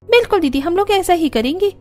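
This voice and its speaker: A woman's voice: extremely high pitch, expressive, a little fast, soft background music, voice pushed up. A woman voicing jealous, scheming young daughters-in-law in a cartoon, with a taunting, indignant manner.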